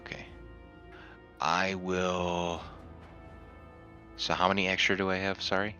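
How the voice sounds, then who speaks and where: A man with a very deep, forceful voice takes his turn in a tabletop roleplaying game. He talks casually at a slow pace, working through his choices aloud. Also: level tone, lo-fi sound, faint music behind.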